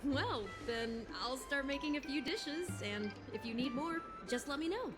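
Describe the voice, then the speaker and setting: A woman's voice: high voice, expressive, moderate pace, over music. A woman voicing an obliging restaurant keeper in a video game, with an accommodating way of talking. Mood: welcoming. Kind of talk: video game character dialogue.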